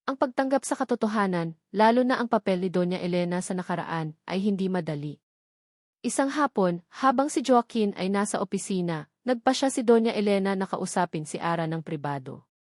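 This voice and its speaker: A woman's voice: mid-range voice, animated, brisk, darkish tone, no room sound, studio quality. A woman with a Filipino accent reads a scripted romance story as a dramatic narrator.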